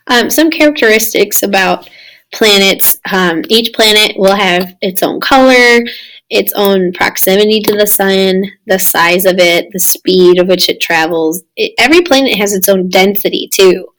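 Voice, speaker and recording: Lady teacher casually talking students thru slides, voice a bit raspy after losing it, medium pitch. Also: a little slow, a bit bright, low-quality recording. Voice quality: slightly raspy. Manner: casual, explanatory, addressing students. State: recovering from lost voice. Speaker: science teacher. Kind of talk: informal recorded slideshow lesson.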